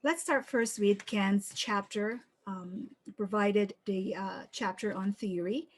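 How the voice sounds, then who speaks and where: A woman giving a conference talk on a video call, measured, cueing slides and reading quotations aloud. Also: medium pitch, steady tone. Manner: measured, reads quotes aloud, cues slides. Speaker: academic presenter.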